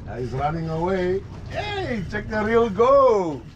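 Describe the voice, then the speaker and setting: Older man, excited boat fishing commentary, slow drawn-out talk, a bit high, loud engine and wind noise behind. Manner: coaching, repeats short calls. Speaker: fishing guide filming the trip. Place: open boat at sea. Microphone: action camera on board. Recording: noisy boat and sea wind.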